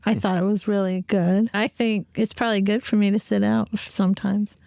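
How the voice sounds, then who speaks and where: A woman's voice: mid-range voice, level tone, moderate pace, creaky, dark tone, no echo, studio quality. A woman co-hosting a podcast, chatting casually. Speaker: podcast co-host. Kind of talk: casual chat.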